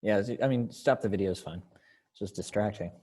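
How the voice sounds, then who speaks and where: A man in his thirties thinking aloud in a halting way as he gives casual instructions to a group on a video call. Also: on the low side, a little mellow. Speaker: director leading a readers theater rehearsal. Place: indoor room, on a video call.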